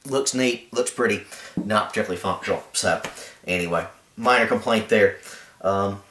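A man's voice: fairly low pitch, moderate pace, fairly bright tone, roomy sound, a little hiss or hum. A man hosting his own channel, casually reviewing a cheap knife with a laid-back, rambling manner and blunt opinions. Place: indoors, camera filming a carpeted floor.

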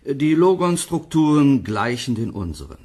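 An actor playing an authoritative male scientist in a radio drama interview, measured, precise and lecturing. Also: medium pitch, very animated, darkish tone. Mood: earnest, lecturing.

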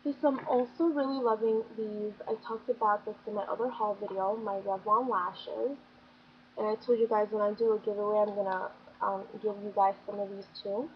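A woman's voice: mid-range voice, steady tone, brisk. A young woman talks through her favorite products in a chatty, gushing way, her voice a bit scratchy as she comes down with something. She sits in a small bedroom speaking into a built-in webcam mic. The sound is dull and lo-fi, with a faint hiss of room noise behind her. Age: young adult. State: slightly scratchy, getting sick. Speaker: beauty vlogger. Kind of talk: casual product review chat.

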